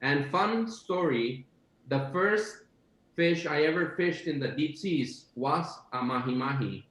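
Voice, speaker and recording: A man's voice: on the high side, lively intonation, slow, fairly bright tone, echoey room, rough recording. A man from Costa Rica talking casually and with enthusiasm, describing food with many fillers. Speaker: chef guest. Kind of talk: casual video-call chat.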